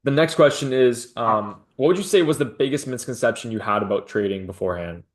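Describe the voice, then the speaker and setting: A man hosting an interview over a video call, a trading coach who asks questions, drops quick affirmations and pitches his own teaching. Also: animated.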